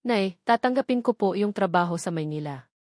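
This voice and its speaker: A woman storyteller plays a troubled young woman from the provinces, the dutiful eldest daughter. She speaks hesitantly and respectfully in an audio drama, at medium pitch, in a very dry recording. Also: animated, fairly quick, darkish tone, no room sound, natural voice. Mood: resigned, reassuring.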